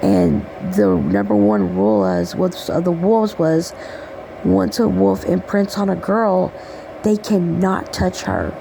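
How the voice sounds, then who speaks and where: Gravelly raspy woman channeling spirit messages. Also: fairly low pitch, noisy background. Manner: rambling, affectionate, repeats "okay?" and "um". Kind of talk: casual spiritual message monologue.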